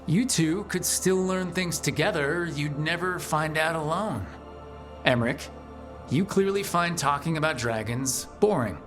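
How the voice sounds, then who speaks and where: A man's voice: a little high, unhurried, a bit bright, faint music behind. An actor playing a wry, encouraging male leader of a fantasy party in a video game. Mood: friendly teasing.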